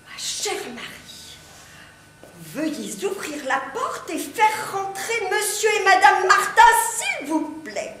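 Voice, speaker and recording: A woman playing a fussy, distracted middle-class English wife in an amateur stage play, with lively theatrical delivery. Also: very high voice, takes it slowly, full character voice. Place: theatre stage before an audience. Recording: echoey hall, faint noise.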